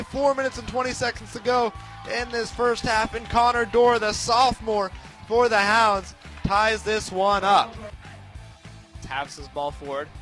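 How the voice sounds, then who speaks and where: A young American man giving live radio sports commentary, moving between measured description and excited, shouted calls. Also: sits high. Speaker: play-by-play sports announcer.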